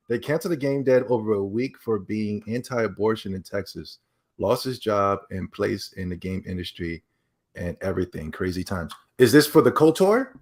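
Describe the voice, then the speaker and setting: A man hosting a podcast in casual chat, rambling and excitable as he reacts to videos and reads donations. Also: medium pitch. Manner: rambling, loose and excitable, with many repeats. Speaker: podcast host.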